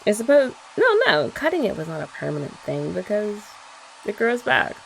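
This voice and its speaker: A young woman chats playfully in an ASMR girlfriend roleplay, teasing her listener. Her voice has a gravelly fry and moves lively through her range. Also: medium pitch, normal speed, a little hiss or hum, rough recording. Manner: playful, teasing, chatty with frequent laughs.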